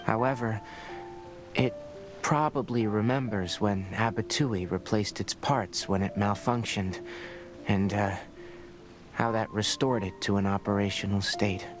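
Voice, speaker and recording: A man voicing a calm, scholarly young forest ranger who speaks in a measured, explanatory, matter-of-fact way in a video game. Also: on the low side, natural voice. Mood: explaining. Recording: game soundtrack behind. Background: game music.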